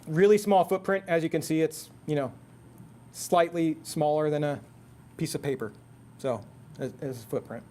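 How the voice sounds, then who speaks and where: A man's voice: on the high side, normal speed, a little mellow. A man presenting a technical product at a seminar in a conversational, explanatory way. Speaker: product presenter for an intercom manufacturer.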